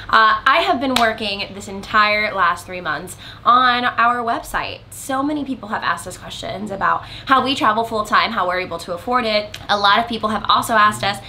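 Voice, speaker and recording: A woman's voice: medium pitch, sharp tone, slight background noise. A young American woman chatting casually to her viewers in an upbeat travel vlog. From United States. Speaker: travel vlogger.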